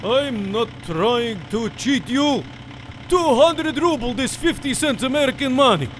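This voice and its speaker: A man voicing a gruff Russian taxi driver in a comedy sketch, speaking broken English with a Russian accent and flat deadpan delivery. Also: extremely high pitch, measured pace, a little hiss or hum, full character voice.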